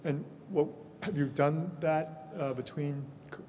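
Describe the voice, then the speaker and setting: A man's voice: fairly high pitch, a little slow, warm tone, a little hiss or hum. A man moderating a session, asking a question with hesitant self-corrections. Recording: distant in reverberant hall.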